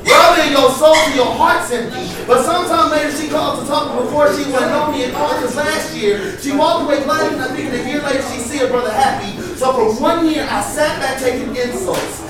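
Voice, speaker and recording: Spoken word poet, pretty high voice, impassioned n rhythmic, far from mic with crowd around. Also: brisk, few pauses. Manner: emphatic, impassioned, rhythmic delivery. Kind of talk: live performance poetry with sung passages. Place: live poetry event before an audience. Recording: echoey live venue, distant mic, audience noise.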